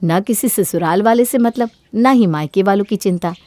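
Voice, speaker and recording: A woman's voice: mid-range voice, moderate pace, a little mellow, no room sound. A woman narrating a scripted moral story as a storyteller.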